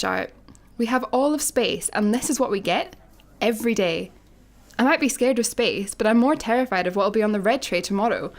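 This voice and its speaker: Woman as a highish-voiced, sarcastic space janitor. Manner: wry, dry, articulate. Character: exasperated, sarcastic, brainy female space janitor. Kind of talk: sci-fi comedy radio play.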